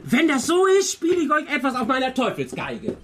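A man's voice: very high-pitched, wildly swooping pitch, brisk, full character voice. A man playing a playful, mischievous, theatrical old grandfather in a German children's radio play. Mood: showy, playful. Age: older. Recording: prominent music behind the voice.

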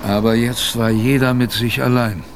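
A man's voice: medium pitch, fairly even intonation, moderate pace, noisy background, natural voice. An actor playing a weary polar expedition sailor who narrates his ordeal dramatically in a radio drama. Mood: weary, grim.

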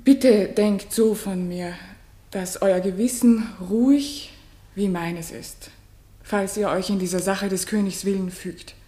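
An actress playing a proud, patient, wronged queen in a classical radio play, speaking in measured, solemn declamation. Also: medium pitch, fairly even intonation, warm tone, roomy sound, close to an everyday voice. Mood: proud, pained. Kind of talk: classical German radio play stage acting.

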